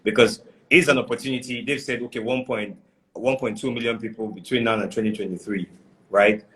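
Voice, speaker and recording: A man's voice: medium pitch, steady tone, a little fast, a bit bright, poor audio. Guy interviewing, keeps pushing the question, bit jokey.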